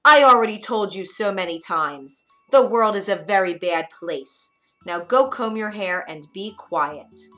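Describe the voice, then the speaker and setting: A mean storybook witch, played by a woman reader, scolds in a loud, forceful voice. She is domineering and bullying, with music faintly behind her. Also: mid-range voice, very animated, slow pace, exaggerated voice. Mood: scolding. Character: mean, shouting, bullying old witch from a fairy tale.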